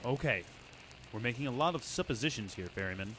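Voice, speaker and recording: An actor playing an inquisitive, skeptical investigator in old-time radio drama style. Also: male voice, medium pitch, lively intonation, quick pace, a bit bright, close to an everyday voice. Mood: pondering. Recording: echoey room, faint noise.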